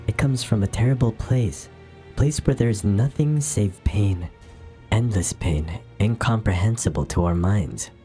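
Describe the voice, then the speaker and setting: An eerily calm child delivers dread in a flat, ominous tone, as a man puts the voice on. The voice is low and mellow. Faint music runs underneath. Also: unhurried, natural voice. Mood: eerie calm.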